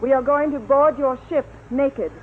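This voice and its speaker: Woman acting very high-voiced commander, vintage radio. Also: moderate pace, narrow, tinny audio, lightly acted. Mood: commanding. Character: female space commander, decisive, tense, authoritative. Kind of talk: 1950s radio drama acting. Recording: thin, hissy old radio recording.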